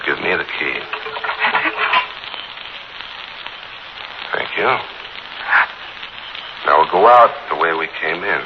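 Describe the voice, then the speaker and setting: Menacing American gangster, 1940s radio thriller. Mock-polite, swooping delivery, noisy vintage recording. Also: male voice, a bass voice, creaky, lo-fi sound, heavily acted. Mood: mock-gentle menace. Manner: mock-polite, sneering, slow taunting menace. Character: menacing wounded gangster holding a mother hostage.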